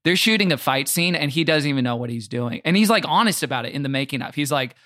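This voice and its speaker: A man's voice: fairly high pitch, lively intonation, quick pace, a bit bright, no echo, clean studio sound. A man chatting casually as a comedic podcast guest, rambling and joking as he interrupts himself.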